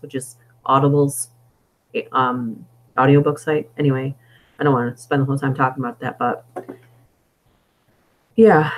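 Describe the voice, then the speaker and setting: A woman with a hoarse, fading voice, chatting casually and meandering on a live stream between story readings, on a budget desktop microphone. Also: deep voice, phone-quality sound. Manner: chatty and meandering, thinking aloud. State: hoarse, losing her voice.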